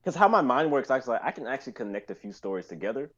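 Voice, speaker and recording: A man's voice: mid-range voice, big pitch swings, racing, fairly bright tone, muffled recording. A man chatting casually on a group live stream, explaining things in a rambling, explanatory way. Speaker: member of a writing and performing group.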